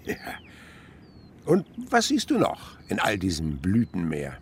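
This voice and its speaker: Animated low-voiced older naturalist uncle. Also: male voice, a little slow, slight background noise, full character voice. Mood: teasing. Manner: theatrical, fond, instructive questioning. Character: enthusiastic, teasing older naturalist uncle on an outing. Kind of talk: German radio play acting.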